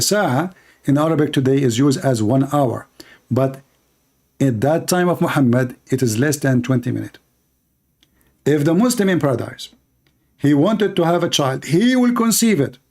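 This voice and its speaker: A man's voice: on the high side, a little mellow. A man giving emphatic preaching commentary to his viewers, declaiming and repeating lines for emphasis. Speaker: online religious debater.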